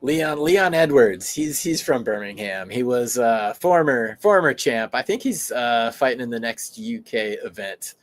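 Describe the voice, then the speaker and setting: Man, American, podcast host, rambling casual chat, mid pitch. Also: expressive, measured pace, few pauses. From United States. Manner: rambling, loose, many fillers and restarts.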